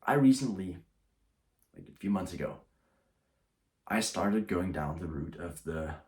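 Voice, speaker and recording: A man's voice: sits low, lively intonation, unhurried, darkish tone. A young man talking casually to camera as a YouTuber, rambling and often restarting his sentences.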